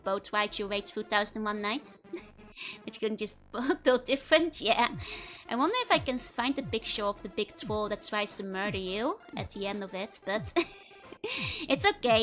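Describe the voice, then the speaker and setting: Giggly Dutch woman with a high voice. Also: fairly bright tone. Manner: giggly, rambling, many fillers. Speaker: streamer. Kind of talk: casual chat to live viewers.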